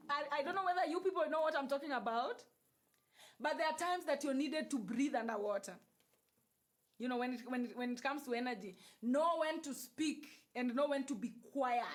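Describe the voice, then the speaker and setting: High-voiced Kenyan woman on a livestream. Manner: repetitive, emphatic, switches into Swahili and Luo phrases. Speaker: livestream host. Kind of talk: casual spiritual talk to live viewers.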